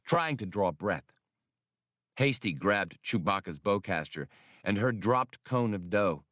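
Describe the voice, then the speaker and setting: A man's voice: on the low side, fairly bright tone, no echo, studio quality. A man reading an adventure story as an audiobook narrator, in a scripted, dramatic style.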